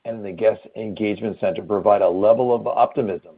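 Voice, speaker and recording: Lowish voiced exec guy doing formal scripted remarks over a thin phone conference line. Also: quick pace, husky, phone-quality sound. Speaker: company chief executive. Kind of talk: scripted earnings call remarks. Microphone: conference call phone line.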